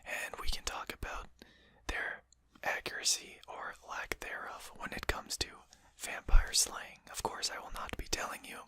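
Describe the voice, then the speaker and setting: A performer voicing a composed, courteous, faintly mocking vampire in a breathy, articulate whisper for an ASMR roleplay. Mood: politely condescending. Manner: slow, articulate, formal, gently mocking. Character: composed, courteous, condescending vampire addressing a hunter.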